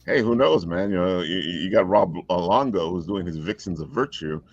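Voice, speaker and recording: A man's voice: sits low, lively intonation, normal speed, dry recording. A man hosting a casual online chat show over a video call. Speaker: show host. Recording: dry, dull lo-fi call audio.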